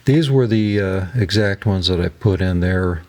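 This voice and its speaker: A man narrating a hands-on tutorial as host, talking haltingly as he thinks aloud while he works. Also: sits low, a little mellow. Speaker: host of a DIY electronics repair channel.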